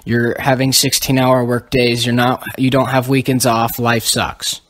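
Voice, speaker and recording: A man's voice: mid-range voice, monotone, a little slow, a bit bright. A young man co-hosting a podcast, explaining history in a casual, lecturing way with many ums. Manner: lecturing, lists items, frequent ums. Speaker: podcast co-host.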